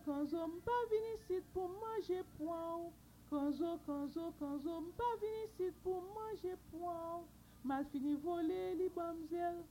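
A woman's voice: extremely high pitch, creaky, mellow, slight background noise, full character voice, rough recording. A woman voicing a pleading male turtle who sings a repeating chant in a folk tale.

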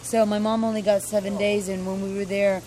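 A woman's voice: medium pitch, level tone, fairly quick, warm tone. A young American woman chatting casually and talkatively as an interviewee, repeating herself for emphasis. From United States. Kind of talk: casual chat. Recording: noisy location with vehicle sounds.

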